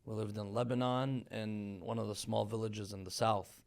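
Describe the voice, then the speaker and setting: A man in his thirties, born in Iran, a religious scholar speaking as a guest in a relaxed interview with a measured, thoughtful manner. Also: lowish voice, gravelly. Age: 30s. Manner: measured, thoughtful storytelling with fillers. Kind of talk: conversational interview.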